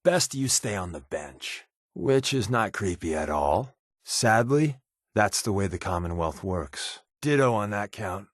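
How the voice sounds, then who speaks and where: An actor playing a sardonic, wisecracking undercover resistance agent in a video game, with a casual, slangy, quipping delivery. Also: male voice, fairly low pitch, expressive, a little slow, no echo, clean studio sound. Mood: dry, teasing. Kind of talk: video game character voice lines.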